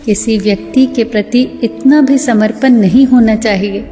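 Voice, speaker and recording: A woman narrator reciting scripted motivational sayings in a measured, deliberate way. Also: on the high side, dark tone. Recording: long hall reverb, music bed. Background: music.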